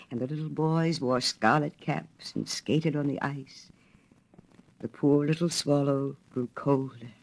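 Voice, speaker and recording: Female storyteller for a literary fairy tale: measured, dramatic, very varied intonation. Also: low voice. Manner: expressive, measured delivery.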